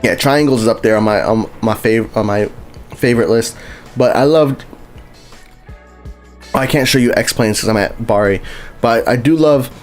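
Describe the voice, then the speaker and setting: A man streaming a game live, his voice sitting at an ordinary middle pitch. He rambles in a loose, friendly way and keeps restarting sentences as he talks to his chat. Faint music plays underneath. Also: normal speed, pauses often. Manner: rambling and chatty, lots of restarts, greets chat viewers. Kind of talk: casual live-stream commentary while playing.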